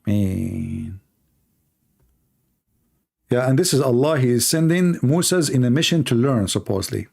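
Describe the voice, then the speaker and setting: A man's voice: mid-range voice, lively intonation, a little slow, vocal fry, long pauses, a little mellow. A man hosting a call-in show, talking in a rambling, sarcastic comedic monologue full of irony and fillers. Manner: mocking, rambling and ironic, with many fillers.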